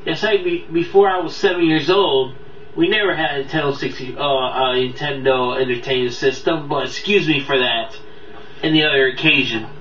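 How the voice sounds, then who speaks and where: Bright, high-voiced man in a roomy recording. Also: fairly even intonation. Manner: rambling, halting, often losing track. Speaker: video countdown host and reviewer. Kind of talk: casual unscripted review talk to viewers. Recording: roomy, distant, dull mic, faint noise.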